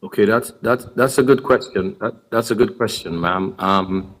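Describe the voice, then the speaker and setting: A man's voice: lowish voice, normal speed, fairly bright tone, slight background noise, poor audio. A man from Trinidad answering audience questions at a sports symposium, earnest and explanatory, often restarting his words. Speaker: basketball program speaker.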